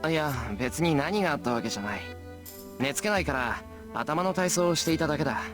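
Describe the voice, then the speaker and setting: A man's voice: fairly high pitch, lively intonation, very fast, close to an everyday voice. A man playing an earnest, modest teenage apprentice mage in a Japanese visual novel. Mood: casual, brushing off. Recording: game music behind the voice.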